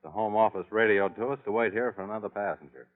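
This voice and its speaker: An actor playing a blunt, defensive airplane co-pilot with slangy speech in an old radio drama. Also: male voice, fast talker, big-room sound, close to an everyday voice. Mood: businesslike. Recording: boomy, narrow vintage radio recording.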